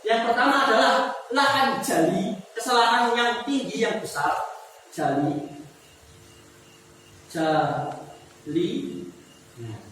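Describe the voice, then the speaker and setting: A man's voice: very high-pitched, expressive, takes it slowly, pauses often, fairly bright tone, slight background noise. Man teaching in an echoing mosque hall. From Indonesia. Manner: explains step by step, repeats key words, asks the class questions. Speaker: Quran recitation teacher. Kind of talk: mosque lesson on tajwid. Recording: roomy echo with long reverb, from far off.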